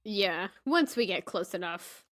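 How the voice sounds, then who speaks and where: A woman with a middling pitch takes part in a tabletop game. She speaks slowly as she describes her character's actions. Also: lively intonation. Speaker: tabletop game player, podcast host.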